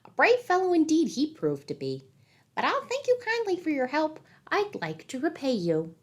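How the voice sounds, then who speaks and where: A woman voicing a tiny, mischievous male leprechaun in a storybook, with a shrill, theatrical delivery. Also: huge pitch swings, moderate pace. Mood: cheerful.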